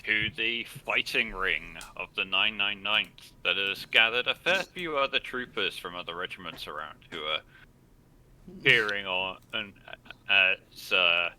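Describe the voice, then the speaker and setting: A man narrating and making rulings as game master, improvising in a halting way. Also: on the low side, bright, forceful tone, poor audio.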